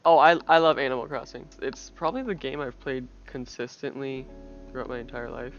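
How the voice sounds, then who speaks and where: A young woman with a deep voice streaming on a headset, rambling and swearing casually with lots of filler. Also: brisk, muffled recording. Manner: rambling, restarts, heavy "like" filler, swears freely. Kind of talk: casual livestream chat. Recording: music playing under the voice.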